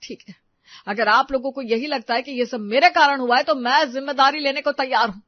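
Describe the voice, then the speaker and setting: A woman's voice: high-pitched, fairly quick, no room sound, lightly acted. An earnest young man, voiced by a woman, argues with impassioned, righteous insistence in a family melodrama. The recording is dry and a little muffled. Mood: indignant. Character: earnest, indignant young man defending a wronged widow. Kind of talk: Hindi audio story melodrama.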